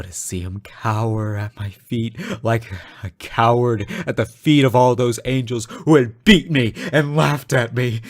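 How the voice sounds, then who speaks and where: A man playing an obsessive, lovesick fallen angel in a dramatic audio roleplay, theatrical and halting, bitter and unhinged. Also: mid-range voice. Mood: cruel, triumphant. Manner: theatrical, halting, sighing, swinging from hushed to frantic. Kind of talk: dramatic audio roleplay monologue addressed to a lover.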